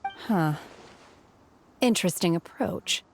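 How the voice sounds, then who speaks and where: A woman playing an aloof, socially awkward young woman in a video game, blunt and halting. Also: on the low side, creaky, slight background noise. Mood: detached.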